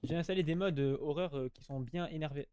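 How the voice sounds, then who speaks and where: A man's voice: a little high, fast, darkish tone, slight background noise. A man giving casual, slangy gameplay commentary, chatting to his viewers and often repeating himself. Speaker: gaming YouTuber.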